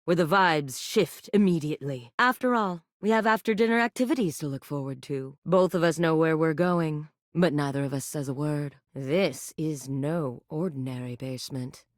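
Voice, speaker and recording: A voice actress playing a flirty, theatrical woman who teases in a slow, sultry drawl. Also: deep voice, no echo, studio quality, natural voice. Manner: sultry and playful, slow drawn-out delivery. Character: flirty, theatrical adult woman, bold and teasing. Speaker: voice actor. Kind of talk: video game character voice lines. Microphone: close studio mic.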